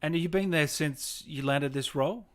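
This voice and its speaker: A man's voice: fairly high pitch, moderate pace. A man in his late twenties hosting a gaming livestream, chatting informally with viewers and guests. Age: late 20s. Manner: informal, blokey, addresses viewers as guys. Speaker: host of a gaming livestream show. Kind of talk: casual livestream chat and interview.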